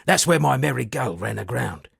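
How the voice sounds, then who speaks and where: A shipwrecked sea captain for a fantasy game, a roguish would-be poet. The voice is heavily creaky and very raspy, swooping expressively through wry, theatrical storytelling. It is recorded close and dry. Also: male voice, mid-range voice, lively intonation, moderate pace, fairly bright tone, dry recording, exaggerated voice. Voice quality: gravelly, husky. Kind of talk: video game character dialogue.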